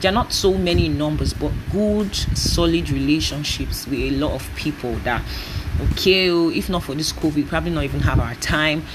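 A woman's voice: low-pitched, moderate pace. Young Nigerian woman, early twenties, solo podcast host; chatty, rambling delivery on a noisy home recording. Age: 20s. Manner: chatty, rambling, often laughing. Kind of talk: casual solo podcast chat.

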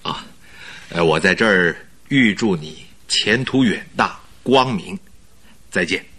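An actor playing a glib, jovial recruiter in a radio drama, speaking in a hearty, polite and reassuring manner. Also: male voice, low-pitched, expressive, slow, bright, forceful tone, husky, exaggerated voice. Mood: jovial, pleased. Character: glib, jovial male recruiter, smoothly persuasive schemer.

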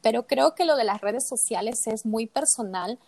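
A woman's voice: on the high side, animated, moderate pace, a little mellow. A woman guest with a Latin American Spanish accent, a native Spanish speaker, talking thoughtfully in an interview with many fillers. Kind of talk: casual interview chat.

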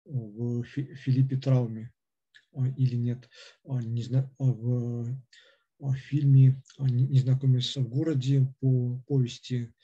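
A man lecturing off the cuff, stopping and restarting at every turn with a string of fillers. His voice is very dark and husky, and he speaks in a flat, level tone. The recording sounds dull and lo-fi. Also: medium pitch. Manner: halting, with constant hesitations and fillers. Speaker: lecturer on philosophy. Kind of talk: unscripted lecture.

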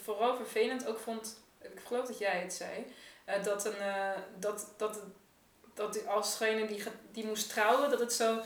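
A woman's voice: medium pitch, fairly even intonation, moderate pace. A woman talking in a casual, conversational way. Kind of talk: casual chat. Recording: echoey room, distant from microphone.

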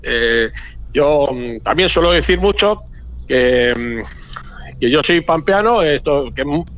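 Man, 40s, Castilian Spanish accent, higher voice, halting, repeats himself, muffled radio audio. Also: expressive, fairly bright tone, slight background noise. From Madrid, Spain. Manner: halting, repeats words often, talkative. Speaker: hotel owner, radio guest. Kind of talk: casual radio interview.

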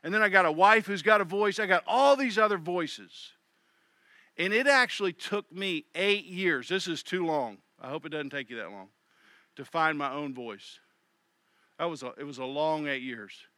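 A middle-aged pastor giving a sermon in a conversational way, often voicing the thoughts of others. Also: male voice, a little high, lively intonation, normal speed, bright, forceful tone, no echo. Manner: conversational preaching with quoted inner voices.

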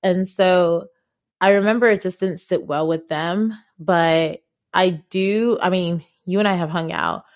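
A woman hosts a conversational podcast in a voice pitched a little lower than most. She tells stories casually, leaning on her points with emphasis and talking directly to the listener. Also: unhurried. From Ghana. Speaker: podcast host.

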